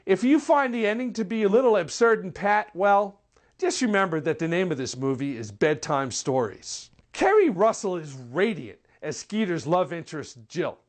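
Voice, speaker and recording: A film critic delivering a scripted movie review in an articulate, measured, wry manner. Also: mid-range voice.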